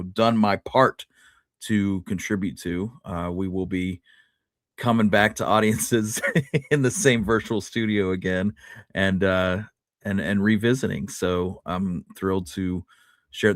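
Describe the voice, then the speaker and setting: A man's voice: low-pitched, dry recording, studio quality. A man speaking casually as a podcast co-host, with an easygoing manner.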